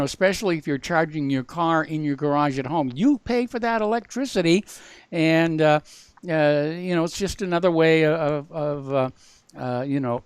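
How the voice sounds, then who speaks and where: A male talk-radio host speaks into a close studio mic with a dry sound and no room echo. His voice sits on the high side and comes across smooth and clear. He talks bluntly and halts often on "uh.". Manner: halting, full of "uh" fillers, blunt. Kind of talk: talk radio hosting and news commentary.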